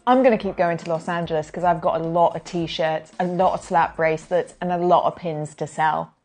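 A woman's voice: lowish voice, fairly even intonation, darkish tone, soft background music, natural voice. A woman voicing a practical, skeptical young female driver of a band van. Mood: matter-of-fact. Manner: quick, skeptical, down-to-earth. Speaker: player.

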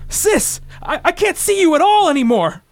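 A man's voice: very high voice, big pitch swings, normal speed, pitched above the performer's own voice, heavily acted. A man playing a frightened young superhero boy in a radio play, with melodramatic, anxious exclamations. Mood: distressed.

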